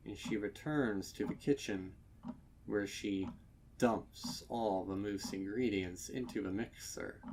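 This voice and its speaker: A man narrating a horror story from a script, dramatically, with deliberate pauses and ominous emphasis. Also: on the low side, a little mellow, slight background noise. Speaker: fan fiction narrator.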